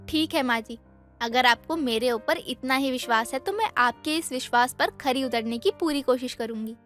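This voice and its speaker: A woman's voice: high-pitched, normal speed, fairly bright tone, soft background music. A woman playing a young daughter-in-law in a dramatized moral story, dutiful yet defensive, with an earnest, explanatory way of talking. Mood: anxious, dutiful.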